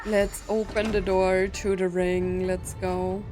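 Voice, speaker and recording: A woman's voice: medium pitch, flat delivery, takes it slowly, over music. A woman doing casual let's play commentary, sometimes reading game dialogue aloud. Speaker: gamer playing and commenting.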